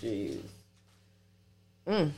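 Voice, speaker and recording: A woman's voice: extremely low pitch, huge pitch swings, extremely slow, warm tone, a little hiss or hum. A woman co-hosting a podcast in casual talk, adding dry, sarcastic remarks. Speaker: podcast co-host.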